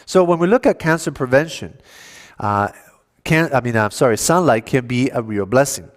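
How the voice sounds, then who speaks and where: A man's voice: mid-range voice, expressive, moderate pace. Man giving a health lecture. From Cuba. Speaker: health seminar speaker at a church. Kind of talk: educational health lecture to an audience.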